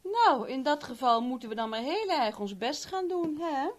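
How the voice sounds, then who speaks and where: An actress playing a sceptical, protective assistant in a 1970s radio play, dry and outspoken. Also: female voice, high-pitched, expressive, moderate pace. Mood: dry resignation.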